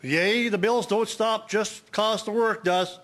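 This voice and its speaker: A man's voice: high-pitched, steady tone, slow, a bit bright. A man playing a plain-spoken labourer in a historical radio drama. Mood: weary, hopeful.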